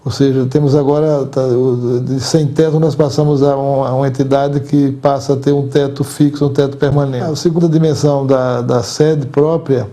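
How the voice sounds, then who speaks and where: An older man with a Brazilian Portuguese accent gives measured, formal answers as an association's outgoing president, choosing words deliberately and repeating some as he goes. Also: mid-range voice, level tone, dark tone.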